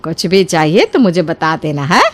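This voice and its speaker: Woman voicing a lively, doting older aunt. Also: sits low, normal speed, pitched below the performer's own voice. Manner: affectionate, coaxing, expressive. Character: kindly, doting older mother-in-law and aunt.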